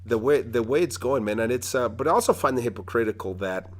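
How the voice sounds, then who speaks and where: A man's voice: medium pitch, animated, fast. A man from Mexico hosting a podcast interview, talking in a loose, rambling way with lots of restarts. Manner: rambling, many restarts and fillers. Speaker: podcast host. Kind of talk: casual conversational interview.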